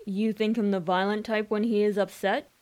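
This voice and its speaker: A woman playing a genial, foppish young English gentleman in a radio drama, with jaunty old-fashioned talk. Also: mid-range voice, little pitch movement, normal speed, close to an everyday voice.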